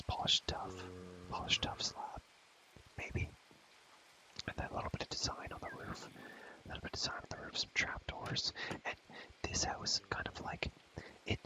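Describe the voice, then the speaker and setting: Young male ASMR creator for gaming commentary. Whispers throughout, rambles casually in slang, softly repeats himself. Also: rough recording.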